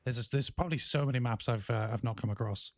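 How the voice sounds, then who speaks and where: A man in his thirties with an English accent tinged with Welsh, chatting casually on a game stream while coughing and clearing his throat now and then. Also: mid-range voice, fast talker, no room sound. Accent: English with a Welsh twang. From England. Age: 30s. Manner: rambling, many fillers and restarts. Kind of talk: casual livestream chat, reading chat aloud.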